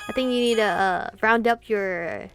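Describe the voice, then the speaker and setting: A woman's voice: medium pitch, moderate pace, faint music behind. A woman hosting a let's-play, reading visual novel dialogue aloud and reacting theatrically with plenty of swearing. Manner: expressive, foul-mouthed, theatrical reactions.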